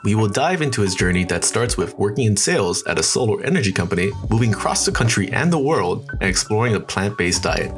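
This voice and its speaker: A man with a fairly low voice hosts a podcast and reads a smooth, prepared introduction. Music plays clearly under his voice. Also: sing-song, quick pace, few pauses. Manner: reads a polished introduction, then brief reactions. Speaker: podcast host. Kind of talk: scripted intro and casual interviewing.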